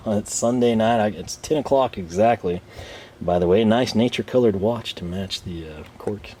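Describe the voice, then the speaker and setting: A man's voice: lowish voice, moderate pace, warm tone, a little hiss or hum. A man hosting a casual, unscripted product review, rambling and conversational with some self-deprecation, his voice a bit tired. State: tired voice starting to give out. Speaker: host of tool review channel.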